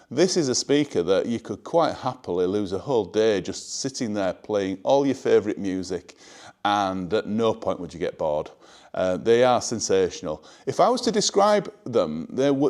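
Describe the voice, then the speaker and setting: A man's voice: mid-range voice, darkish tone. Man presenting a product. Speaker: hi-fi showroom presenter. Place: indoor hi-fi showroom.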